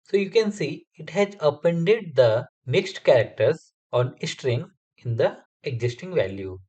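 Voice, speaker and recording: A man's voice: on the high side, expressive, measured pace, a little mellow, husky, dull audio. A man, a Hindi speaker, explains a coding tutorial step by step over a screen recording. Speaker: software testing instructor.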